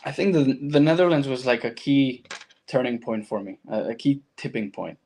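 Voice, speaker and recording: A man's voice: mid-range voice, animated, moderate pace, a little mellow. A man from Argentina with a Latin American accent and Spanish as his first language, speaking as a guest and telling his personal story reflectively in conversation.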